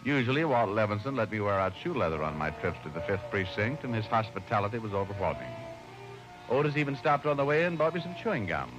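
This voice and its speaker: Smooth-talking american radio private eye, lowish voice, very lively, sardonic and teasing, old noisy broadcast. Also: male voice, fast, highs cut off, over music. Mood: wry narration. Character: wisecracking, suave, teasing private detective. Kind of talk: 1940s radio detective acting.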